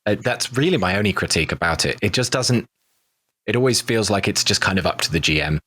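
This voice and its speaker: A man's voice: fairly low pitch, lively intonation, fast, pushed and bright, husky, dry recording. A man in casual roundtable conversation as a podcast cast member, giving his opinions with emphatic repetition.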